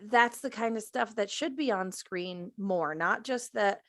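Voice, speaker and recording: A woman's voice: mid-range voice, measured pace, a bit bright, dry recording, clean studio sound. A woman in a casual panel chat, speaking informally with emphatic enthusiasm.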